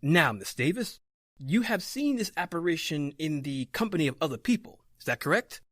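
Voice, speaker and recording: An actor playing a solemn, authoritative older man in old-time radio horror, measured and portentous. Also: on the high side, big pitch swings, fairly bright tone, no echo, studio quality. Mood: probing.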